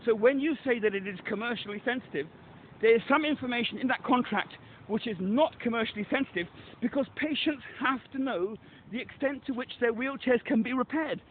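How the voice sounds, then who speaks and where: Man, insistent caller, high-pitched, phone line, faint hiss. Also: fairly quick. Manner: insistent, urgent, repeats himself. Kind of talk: phone complaint call.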